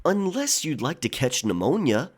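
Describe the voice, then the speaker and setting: An actor playing an angry, gruff pirate quartermaster in an audio drama, scolding and grumbling bluntly. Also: male voice, fairly high pitch, big pitch swings, moderate pace. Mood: grumbling, curt. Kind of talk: full-cast audio drama acting.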